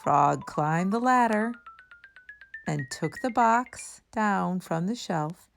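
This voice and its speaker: A woman storyteller reading a children's book aloud in a deliberate, expressive narrating style. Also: lowish voice, creaky, faint music behind.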